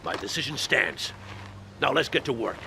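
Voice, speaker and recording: An actor playing a stern, decisive American army colonel in a game cutscene, curt and commanding, with an American accent. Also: male voice, big pitch swings, normal speed, gravelly. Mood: firm. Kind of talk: dramatic game cutscene acting. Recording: music and vehicle rumble behind.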